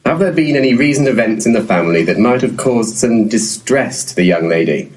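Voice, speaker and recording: An actor playing a shifty, blunt, deadpan paranormal investigator in a comic audio play. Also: male voice, medium pitch, a little fast, background noise, natural voice. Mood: probing. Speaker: actor in an audio comedy drama. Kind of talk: audio play dialogue, dry comedy.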